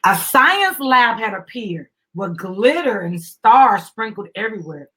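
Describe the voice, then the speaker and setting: A middle-aged woman presents a children's book in a husky voice. She reads with animation, then steps out of the story to explain it. Her manner is encouraging and instructive, aimed at young listeners and their parents. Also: medium pitch, big pitch swings, unhurried, a bit bright.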